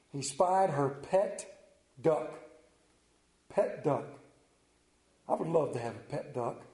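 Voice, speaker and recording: A man's voice: a little high, dark tone, reverberant. A man with a Central Maryland accent preaching a sermon, folksy and mixing stories with personal asides. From Central Maryland, United States. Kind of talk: sermon with storytelling.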